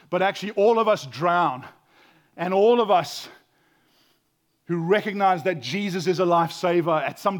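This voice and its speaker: High-voiced preaching man, hushed to loud. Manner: swings from hushed whispers to loud exclaiming, stammers repeats. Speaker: pastor. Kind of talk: sermon.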